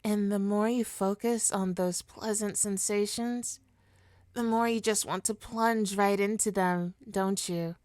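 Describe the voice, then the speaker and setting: Woman acting a smug seductive supervillain lady, really slow hypnotic asmr talk, smooth voice, teasing. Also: mid-range voice, steady tone, darkish tone, no room sound, studio quality, close to an everyday voice. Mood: soothing, hypnotic. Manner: coaxing, teasing, deliberate. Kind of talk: ASMR roleplay, hypnotic monologue.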